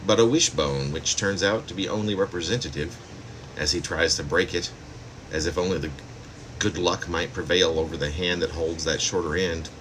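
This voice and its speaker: A man with a very deep voice reads his own poetry aloud. It is a poet's recitation, recorded plainly with a faint hum of air conditioning behind the words. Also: a bit bright, poor audio. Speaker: poet. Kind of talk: poetry reading of own work.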